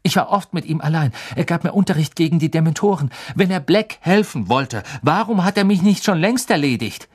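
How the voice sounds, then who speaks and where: A man's voice: fairly high pitch, expressive, husky, pitched above the performer's own voice. A man voicing a hot-headed, defiant teenage schoolboy who argues insistently in a storybook reading. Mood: indignant. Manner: rapid, insistent arguing.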